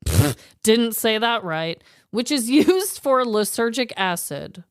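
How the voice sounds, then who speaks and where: A woman co-hosting a podcast in casual, jokey chat, informal and quick to laugh. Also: a little high, sing-song, smooth, no room sound, clean studio sound. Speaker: podcast co-host. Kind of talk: casual comedic chat with a prepared list.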